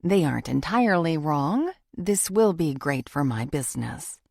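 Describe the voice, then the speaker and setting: A woman's voice: low-pitched, expressive, normal speed, gravelly, darkish tone, dry recording, clean studio sound. A woman narrating a first-person thriller audiobook from a script.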